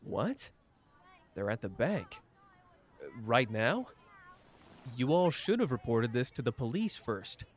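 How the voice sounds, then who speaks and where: A man doing a slow, flirtatious charmer. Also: mid-range voice, big pitch swings.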